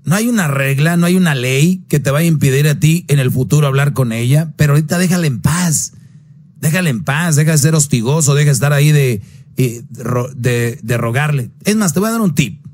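A man's voice: fairly quick, a little mellow. A man hosting a call-in advice show on the radio, blunt and preachy, pushing his voice up to stress a point. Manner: blunt, preachy, swings pitch up for emphasis.

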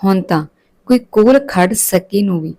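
A woman storyteller delivers a scripted narrative. Her voice sits at a medium pitch for a woman, and she reads as one telling a moral tale. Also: unhurried, warm tone.

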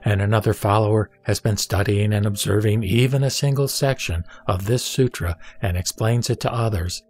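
A man playing a serene, authoritative spiritual teacher, measured and didactic, in a scripted sutra audio drama. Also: lowish voice, steady tone, darkish tone, faint music behind, close to an everyday voice. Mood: solemn teaching. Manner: solemn, measured, didactic delivery. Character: the Buddha, serene, authoritative male spiritual teacher instructing a disciple.

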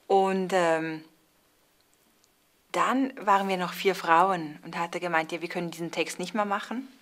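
Young woman chatting about stuff, lowish voice, easygoing storyteller. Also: fairly even intonation, normal speed. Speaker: actor. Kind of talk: interview. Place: indoor theatre space with brick wall.

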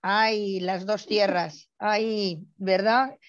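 A woman's voice: mid-range voice, fairly even intonation, very slow. A middle-aged woman introducing a speaker in an effusive, emphatic way with rhetorical asides, partly reading out. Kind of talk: introduction speech, partly read out. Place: indoor room, on a video call. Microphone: earphone headset on a video call. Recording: dull lo-fi video call sound.

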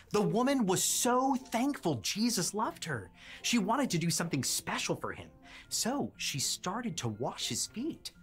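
Friendly children's storyteller, mail carrier, lively intonation, slow emphatic phrasing, soft music underneath. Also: female voice, on the low side, full character voice. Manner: expressive, simple, emphatic phrasing.

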